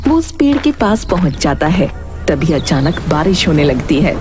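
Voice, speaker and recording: Animated, low-pitched woman storyteller. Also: normal speed. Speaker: storyteller, narrator. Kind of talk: scripted animated story narration. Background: music.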